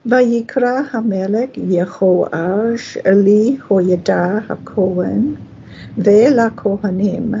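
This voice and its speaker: A woman reading scripture and blessings in a deliberate, halting way, phrase by phrase. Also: medium pitch, vocal fry, mellow, slight background noise. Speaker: scripture reader. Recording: dull, muffled lo-fi audio.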